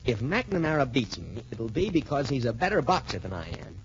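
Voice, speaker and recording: An actor playing an easygoing, confident young cadet boxer in 1940s radio style, with a breezy, wholesome, emphatic delivery. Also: male voice, medium pitch, big pitch swings, fairly quick, highs cut off. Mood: calm, reassuring. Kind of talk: 1940s radio adventure acting. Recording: noisy band-limited 1940s radio recording.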